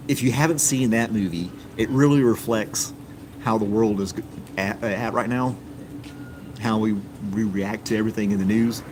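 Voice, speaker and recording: A man's voice: lowish voice, background noise. A man from the United States hosting a live stream, chatting casually and reading viewer comments in an easygoing, rambling way with many ums.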